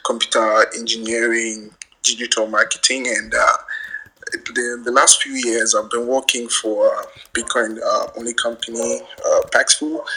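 A man's voice: medium pitch, flat delivery, measured pace, bright, forceful tone, breathy edge, poor audio. A man in his thirties from Cameroon, a guest introducing himself in a casual live audio chat, earnest with frequent fillers. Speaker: guest speaker, creator.

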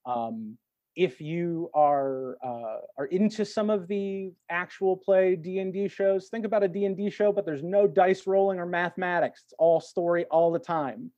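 A man's voice: high-pitched, normal speed, dark tone, dry recording, muffled recording. A man talking in a reflective, conversational way as a podcast guest, encouraging and reassuring as he gives advice. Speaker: podcast guest, actor and tarot reader.

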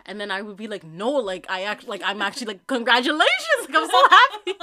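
Young woman, podcast co-host. Dry, deadpan delivery, yet bright in tone with big pitch swings when she acts out what others say. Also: moderate pace. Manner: flat, dry delivery with lots of "like" and laughter. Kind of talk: casual chat.

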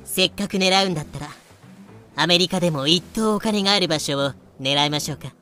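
A woman's voice: fairly low pitch, brisk, bright, forceful tone, soft background music. A woman playing a flamboyant, cocky female pirate captain in a fan-made audio drama, breezy and self-assured. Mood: scheming.

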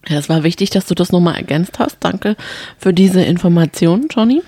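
A woman with a slightly low voice chats casually as co-host of a podcast. The recording is dry, with no room sound. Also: expressive, brisk, mellow, no echo.

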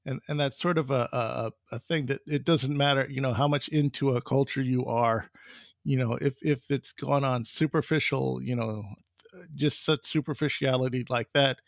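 A man's voice: mid-range voice, steady tone, normal speed, vocal fry, no echo, studio quality. A man hosting a podcast, talking in a rambling way with many fillers and repeated words. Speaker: podcast host. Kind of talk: casual interview conversation.

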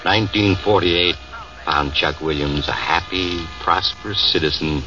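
A man narrating a 1940s radio drama in a grave, measured, dramatic manner. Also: sits low, fairly even intonation. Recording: muffled old radio broadcast, distant, music under.

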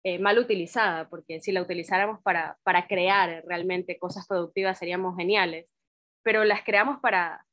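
A woman's voice: fairly low pitch, fairly even intonation, a little fast, fairly bright tone, no echo. A woman co-presenting an informal, reflective talk.

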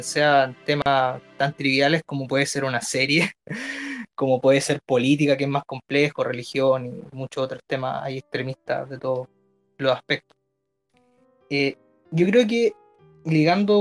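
A man with a Chilean Spanish accent co-hosting a casual radio discussion, thinking aloud with some hesitation. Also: medium pitch. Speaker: radio show co-host. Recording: music bed under the voice. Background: background music.

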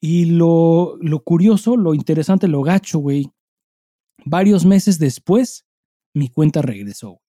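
A Mexican man talks as a podcast host in a very soft-edged, dark timbre, pitched a bit high for a man. He speaks in casual, conversational stretches full of slang and curse words. The sound is close and dry. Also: expressive, slow pace, dry recording. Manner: informal, heavy slang and swearing.

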